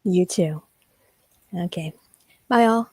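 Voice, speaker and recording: Host, young woman, very low husky voice, shy and hesitant, video call. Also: slow pace, darkish tone, no echo. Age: young adult. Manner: shy, hesitant with many ums. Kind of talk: livestream interview.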